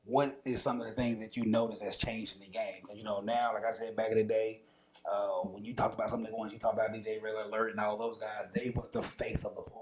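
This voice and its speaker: An American man hosts a casual interview in an informal, slangy manner. His voice sits a little low, and crowd chatter is clearly heard behind him. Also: low-quality recording. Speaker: show host, interviewer.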